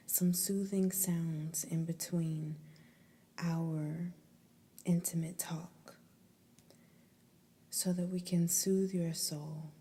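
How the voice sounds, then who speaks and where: A woman whispering a guided ASMR meditation in a breathy, soothing, deliberate way, pausing between phrases. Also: deep voice, warm tone.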